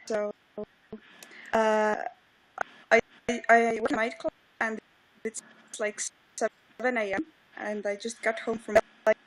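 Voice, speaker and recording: A woman with an Estonian accent joins by video call and speaks halting English with many fillers. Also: a little high, flat delivery, poor audio. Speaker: livestream guest. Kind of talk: casual video call chat.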